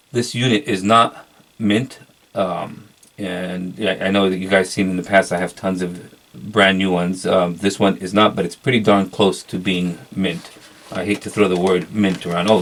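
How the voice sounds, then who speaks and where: A man with a low voice talks through a casual unboxing review. His delivery is flat and halting, moving without hurry from one feature to the next as he shows it. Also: creaky. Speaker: product reviewer.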